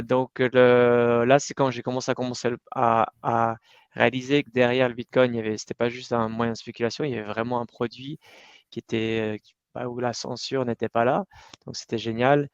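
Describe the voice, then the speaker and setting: A man's voice: medium pitch, level tone, normal speed, gravelly, clean tone, no echo. A middle-aged man, a company cofounder, talking as a guest in a casual interview, telling his story with lots of fillers and restarts. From Iran and Switzerland, raised in the United States. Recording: dull, slightly lo-fi dry recording.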